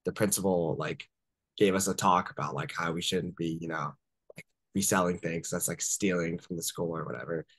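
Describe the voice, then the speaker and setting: A man's voice: deep voice, steady tone, moderate pace, fairly bright tone, dry recording. A young man in his twenties, a startup founder raised in the Washington DC area, telling stories casually over a video call with lots of fillers. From born in Japan, raised in Washington DC area. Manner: chatty, many fillers like "uh" and "like", laughs easily. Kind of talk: casual interview storytelling. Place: home room on a video call.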